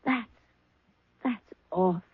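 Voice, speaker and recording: A woman's voice: medium pitch, takes it slowly, slightly rough, no room sound. An actress playing a breezy, restless young woman unsure of her career. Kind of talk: 1970s radio drama acting. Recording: dry, tinny old radio broadcast.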